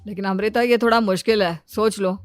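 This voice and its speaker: A woman's voice: mid-range voice, fairly even intonation, a little fast, dry recording, clean studio sound, soft background music, voice pushed down, natural voice. A woman voicing a puzzled, admiring young husband in a story, casual and full of questions. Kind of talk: acted moral-story dialogue.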